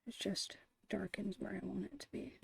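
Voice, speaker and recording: A woman murmuring casual ASMR painting commentary in a whispery, creaky voice, unhurried and thinking aloud, close to the mic. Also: medium pitch, no echo. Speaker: ASMR digital art streamer. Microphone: close microphone, dry.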